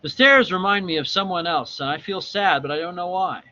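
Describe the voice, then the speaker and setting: A man's voice: high voice, fairly quick, pushed and bright, dull audio, pitched above the performer's own voice. A man voicing a sad, dreamy young woman narrating her own dream in a dramatic story reading. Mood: sad, uneasy.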